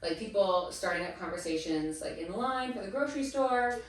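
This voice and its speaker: A woman's voice: mid-range voice, moderate pace. A woman in her thirties telling stories as a guest in a casual interview, acting out what others said. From Massachusetts. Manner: chatty storyteller, animated quoting of others. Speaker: guest, teacher. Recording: echoey room, distant mic.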